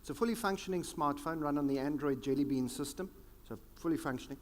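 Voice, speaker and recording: South African male keynote speaker, emphatic and theatrical, slightly high voice, large reverberant hall. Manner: dramatic pauses, sweeping emphatic delivery. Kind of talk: conference keynote talk.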